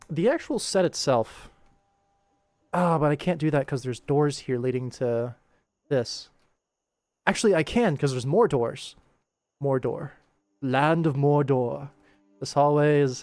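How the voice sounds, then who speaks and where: A man's voice: mid-range voice, a little mellow, no echo. A man casually commentating on his animation work stream, thinking aloud and chatting informally with viewers. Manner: informal, rambling to himself and viewers.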